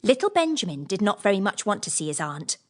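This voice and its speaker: A woman reads classic bedtime tales for children as an audiobook narrator. Her voice sits at a middle pitch and moves up and down a great deal, with expressive storytelling phrasing. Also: fast.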